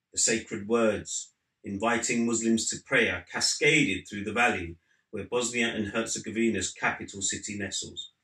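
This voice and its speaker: Male author for a book reading: bright, lowish voice, measured narration, roomy living-room acoustic. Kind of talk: measured literary read-aloud narration. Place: living room at home, seated in an armchair. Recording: slightly roomy indoor sound.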